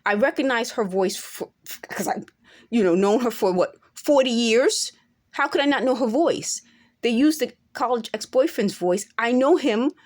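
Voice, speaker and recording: A woman's voice: on the high side, animated, normal speed. A woman in her forties talking straight to camera in an unscripted monologue, earnest and insistent, now and then rising to emphatic peaks. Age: 40s. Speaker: vlogger.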